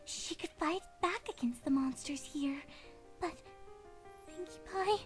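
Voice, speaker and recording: Timid young cartoon mare, played by a woman: high, slow, hesitant and gentle, with music underneath. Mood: anxious. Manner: hesitant, halting, breathy. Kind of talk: fan fiction character reading.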